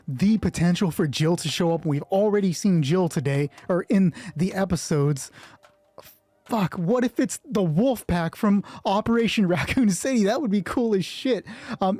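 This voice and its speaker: A man's voice: sits high, animated, unhurried, warm tone, no room sound. A man narrating an improvised solo tabletop game, thinking aloud casually and swearing freely. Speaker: solo roleplay player and narrator.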